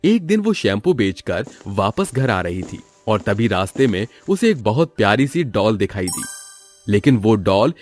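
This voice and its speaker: A man's voice: fairly low pitch, big pitch swings, normal speed, darkish tone, faint music behind. A man narrating a scripted moral story as the storyteller.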